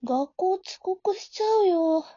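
A woman playing a gentle, naive young woman, a doting childhood friend, in an amateur romantic audio drama, with a soft, sweet manner. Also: extremely high pitch, lively intonation, slow, no room sound, exaggerated voice. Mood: cheerful, caring. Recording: dull, lo-fi home recording.

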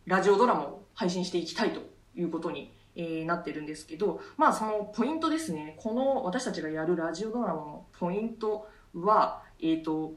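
A woman's voice: lowish voice, lively intonation, brisk, few pauses. A woman, an actress co-hosting a radio show, explaining casually among colleagues. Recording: echoey room, distant mic.

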